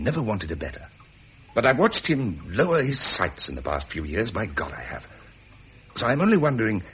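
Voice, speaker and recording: An actor playing an embittered British naval commander, wry and forthright, with an upper-class English accent. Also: male voice, deep voice, fairly bright tone, breathy edge, full character voice, low-quality recording. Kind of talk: 1970s British period drama acting.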